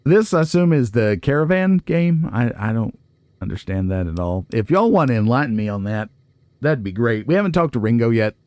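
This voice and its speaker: A man's voice: mid-range voice, big pitch swings, brisk, darkish tone, dry recording. A man giving casual gameplay commentary, chatty and folksy, musing aloud to himself. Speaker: let's play host.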